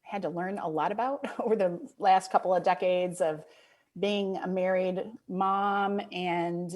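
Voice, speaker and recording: A middle-aged woman with a Michigan accent, a guest author telling stories in a relaxed, reflective way, laughing easily. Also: on the low side, unhurried. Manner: reflective, storytelling, easy laughs. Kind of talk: conversational interview.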